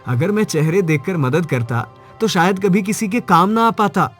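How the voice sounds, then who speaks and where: Man voicing a high-pitched, earnest village husband. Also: normal speed, fairly bright tone, faint music behind. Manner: earnest, pleading, emphatic. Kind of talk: Hindi moral cartoon story acting.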